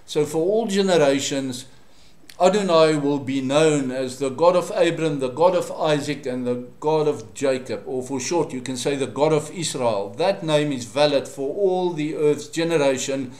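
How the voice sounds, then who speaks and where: A South African man, a native Afrikaans speaker, giving a Bible teaching in a measured, didactic way, pausing between short phrases. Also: a little high, a little mellow. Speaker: religious teacher. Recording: echoey, roomy sound.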